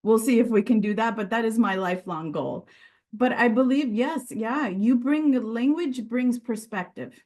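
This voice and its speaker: A woman's voice: on the high side, moderate pace, darkish tone. A woman whose first language is Sicilian, speaking earnestly and reflectively as a podcast guest, with frequent restarts. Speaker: podcast guest, regulatory and quality director. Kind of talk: conversational interview.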